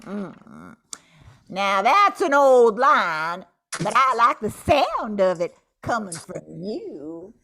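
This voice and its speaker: Older woman plays bossy cowgirl outlaw boss; slow, theatrical, very animated, radio western comedy. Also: medium pitch, exaggerated voice. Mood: sultry, amused. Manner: drawn-out, commanding, theatrical. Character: bossy, flirtatious female Wild West gang leader. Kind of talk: amateur old-time radio comedy acting.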